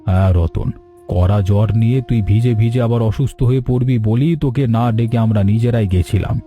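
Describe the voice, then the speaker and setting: A man's voice: mid-range voice, fairly even intonation, brisk, dark tone, faint music behind, close to an everyday voice. A man voicing an affectionate old father-in-law, an earnest village farmer in a cartoon story, speaking in a fatherly, sentimental way. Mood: reassuring.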